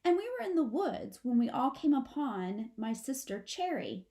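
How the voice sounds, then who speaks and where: A woman's voice: high-pitched, sing-song, moderate pace, warm tone, voice pushed up. A woman voicing a mother possum in a storybook, a firm but wistful parent with a stagey, instructing manner.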